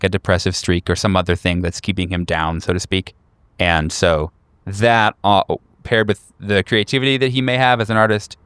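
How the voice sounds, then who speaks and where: Male game master, lower pitch, clean smooth tone, dry close sound, descriptive improvised narration, pauses. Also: fairly bright tone, no echo.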